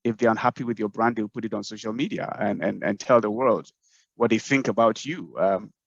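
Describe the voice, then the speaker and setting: Low-voiced man on a muffled call. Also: gravelly, no room sound. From Ghana. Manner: measured, thoughtful, many "um"s and restarts. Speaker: communications professional guest. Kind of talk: webinar panel answer. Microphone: video call. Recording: dull muffled online call audio.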